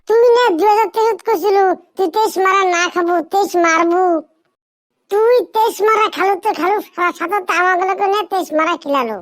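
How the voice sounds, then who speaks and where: A man voicing a cheeky, rowdy young village lad in a comedy cartoon, with a northern Bengali village dialect and a squeaky, pitch-shifted voice. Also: a bit bright. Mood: boastful. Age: young adult. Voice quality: squeaky, artificially high.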